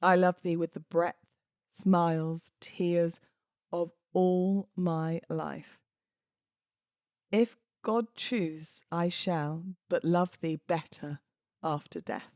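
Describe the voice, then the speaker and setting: A British woman hosting a podcast, moving between informal talk and expressive readings of verse. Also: on the low side, takes it slowly, no echo, studio quality. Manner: chatty, informal, giggly. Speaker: podcast host. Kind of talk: casual podcast chat with dramatic poetry readings.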